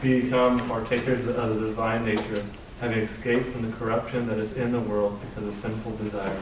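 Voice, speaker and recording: A man in a church class reading a scripture passage aloud in a quiet, flat way. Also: fairly low pitch, brisk, music in the background. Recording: distant, echoey, noisy room.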